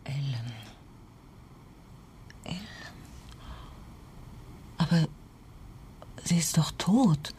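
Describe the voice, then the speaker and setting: A woman's voice: low voice, animated, drawn-out words, long pauses, warm tone, slightly rough, exaggerated voice. An actress playing a troubled, guarded mother under police questioning in a radio crime play, subdued and defensive. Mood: stunned, subdued. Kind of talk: German radio play dialogue.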